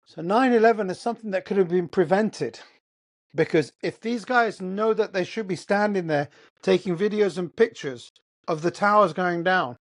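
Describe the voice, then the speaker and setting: A man's voice: high voice, moderate pace. Heated, blunt English man ranting. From England. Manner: heated, blunt and profane, with rhetorical questions. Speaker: host. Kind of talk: ranting monologue.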